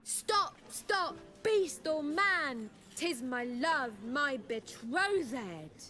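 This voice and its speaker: An actress playing an imperious princess in a stage play within a fantasy game, declaiming theatrically. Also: female voice, sits high, very animated, very slow, bright, forceful tone, soft background music, heavily acted. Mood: pleading.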